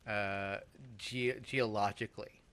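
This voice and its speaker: A man co-hosting a let's play, chatting casually and thinking aloud in a halting, musing way. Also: fairly low pitch, fairly even intonation, a bit bright, clean tone. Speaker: let's play co-host playing the game. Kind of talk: casual game commentary chat.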